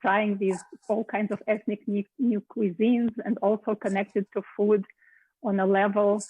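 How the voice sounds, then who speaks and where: A woman's voice: mid-range voice, flat delivery, unhurried, slightly rough, narrow, tinny audio. A middle-aged woman from Latvia, hosting a cooking show and telling her story conversationally, with small hesitations. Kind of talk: casual personal account.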